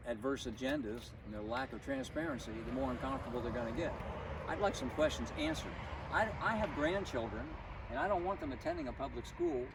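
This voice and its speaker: An older man speaking out as a concerned citizen, emphatic and deliberate, in an informal impassioned style. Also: medium pitch. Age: older, 60s or above. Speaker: concerned local citizen at a protest. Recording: outdoor, distant mic, loud traffic noise. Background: vehicles and outdoor ambience.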